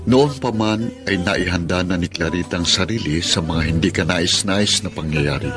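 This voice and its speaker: A low male voice narrates a romantic radio drama with clear music behind him. His delivery is scripted and dramatic, as a storyteller reading a letter. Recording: music under the voice. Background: background music.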